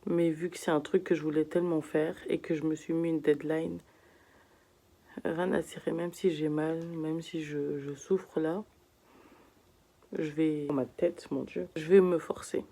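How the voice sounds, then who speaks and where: Husky, worn-out sick young woman. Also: flat delivery, a little slow, warm tone. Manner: tired, low-energy, sometimes whispering. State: sick and in pain. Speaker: vlogger. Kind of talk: casual vlog talk to camera. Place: at home in bed.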